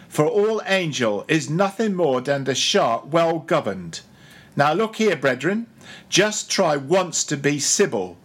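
Man acting a grumbling old ship's cook, preaching loudly. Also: a little high, breathy edge, pitched above the performer's own voice, heavily acted. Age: older. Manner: grumbling, solemn mock-preacher delivery. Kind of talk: audiobook character voice.

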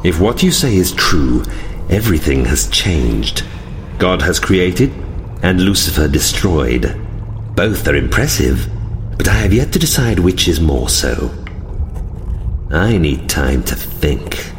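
An actor playing a proud, skeptical male fallen angel in a fantasy audio drama, with measured, formal speech. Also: low-pitched, big pitch swings, a little hiss or hum, exaggerated voice. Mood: grave, deliberating.

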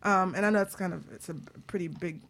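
A woman's voice: lowish voice, fast, fairly bright tone. A woman hosting a talk show in an informal, conversational and joking way. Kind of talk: casual chat.

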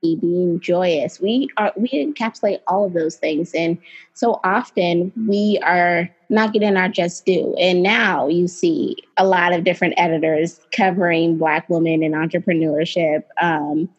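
A woman's voice: fairly low pitch, fairly even intonation, unhurried, husky. Earnest woman guest on dull lo-fi audio. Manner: earnest, reflective, with fillers. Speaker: guest, writer and editor. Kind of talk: casual interview talk.

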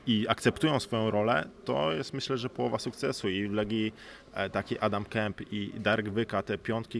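A man gives considered answers as a sports podcast guest. There is audible ambient noise behind him. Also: on the low side. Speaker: basketball player, podcast guest. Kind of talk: thoughtful interview answers. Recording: clearly noisy background ambience.

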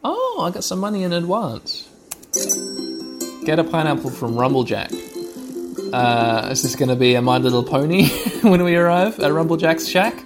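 A man's voice: fairly high pitch, sing-song, normal speed, music in the background. A man hosting a podcast, giving chatty, wry running commentary as he plays a video game for listeners. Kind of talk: narrating gameplay to listeners.

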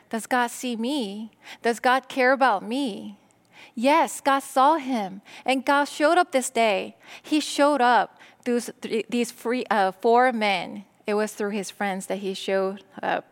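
A middle-aged woman pastor from Korea, whose first language is Korean, preaching a sermon in slow, deliberate phrases with pauses. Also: a little high.

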